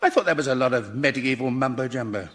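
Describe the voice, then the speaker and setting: A man's voice: mid-range voice, animated, quick pace, a bit bright, natural voice. An actor playing a pompous, self-righteous man who is easily outraged, in a radio comedy, with an emphatic, exasperated manner. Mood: wondering. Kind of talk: radio sitcom acting.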